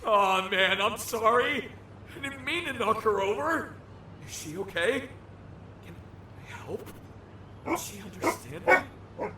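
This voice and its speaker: Man playing an earnest young shapeshifter, lively, roomy sound. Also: sits high, slow, pauses often, recorded from a distance, a little hiss or hum, exaggerated voice. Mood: distressed, apologetic. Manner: naive, formal, thinking aloud. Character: young shapeshifting creature from another realm, earnest, wary outsider. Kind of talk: audio drama acting with inner-thought narration.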